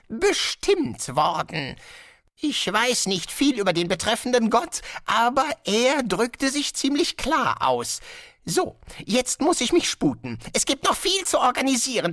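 A man voicing a calm, polite guest master who calmly announces dreadful things, in an audiobook. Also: extremely high pitch, dry recording, clean studio sound, voice pushed up, exaggerated voice. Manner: courteous, unhurried, casually sinister. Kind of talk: audiobook character voice.

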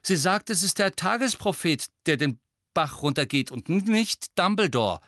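Man voicing earnest, simple high-voiced schoolboy. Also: very animated, moderate pace, fairly bright tone, no echo, studio quality. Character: plain-spoken, earnest, loyal schoolboy who trusts his granny. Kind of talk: audiobook character voice.

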